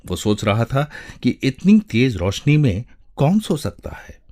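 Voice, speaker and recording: A man giving a dramatic, measured narration of a short story, with deliberate pauses. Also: mid-range voice, sing-song, mellow. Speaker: voice-over narrator.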